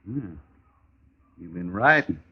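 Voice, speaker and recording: A menacing gunslinger from a radio western. His low voice is unhurried and sure of itself, with a taunting edge. The old recording is dull and muffled. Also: lively intonation, slightly rough, full character voice. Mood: coolly taunting. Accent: American. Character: menacing, drawling outlaw gunman, coolly confident. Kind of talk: 1950s radio western acting.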